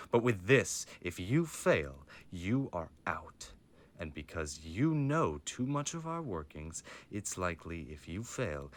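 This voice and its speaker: A man's voice: deep voice, slight background noise. A man playing a stern, menacing mafia boss father with a formal, commanding manner in an audio roleplay. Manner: formal, commanding, coldly threatening.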